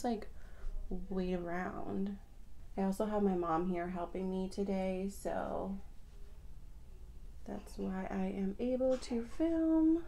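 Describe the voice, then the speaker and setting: Young woman vlogging her makeup, raspy just-woke-up voice with fry, lots of likes and ums. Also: medium pitch, warm tone. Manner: chatty, rambling, filler-heavy. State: raspy morning voice. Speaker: beauty vlogger. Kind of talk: casual get-ready-with-me chat.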